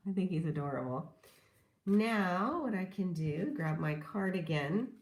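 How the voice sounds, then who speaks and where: A woman walks through a card-making project in an unhurried, friendly way, chuckling softly as she works. Her lowish voice has a gravelly edge and a touch of hoarseness from a cold. The sound carries some echo from the room. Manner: gentle, chatty, laughs softly while working. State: slightly hoarse from a lingering cold. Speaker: papercrafting instructor. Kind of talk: casual craft tutorial demonstration.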